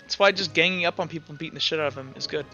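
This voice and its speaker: A man with a high voice runs a tabletop roleplay game online in a loose, informal way. He thinks through rulings as he speaks, in a bright, forward tone. The sound is slightly dull, like voice chat, with music softly behind. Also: racing. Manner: informal, talks through rulings aloud, acts out sound effects. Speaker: game master. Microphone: online voice chat microphone.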